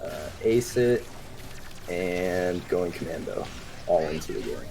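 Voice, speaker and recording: Man, twenties, low voice, chatty, explaining gameplay, casual commentary. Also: slow, dark tone, a little hiss or hum. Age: 20s. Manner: chatty, explains game tricks with fillers. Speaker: speedrun commentator.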